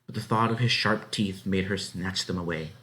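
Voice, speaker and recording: A man reading a children's novel aloud as narrator, in an expressive, dramatic way. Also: sits low, normal speed. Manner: dramatic, animated. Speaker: story reader.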